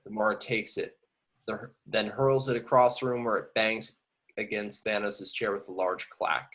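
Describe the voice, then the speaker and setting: A man's voice: on the low side, fairly even intonation, normal speed, fairly bright tone. A man reading scripted action descriptions as narrator of an amateur table read. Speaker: reader of stage directions.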